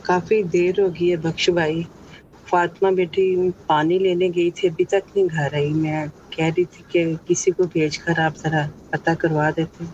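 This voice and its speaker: A woman's voice: lowish voice, level tone, unhurried, darkish tone, dull audio, slight background noise, lightly acted. A woman playing a caring, anxious village mother in an audio drama.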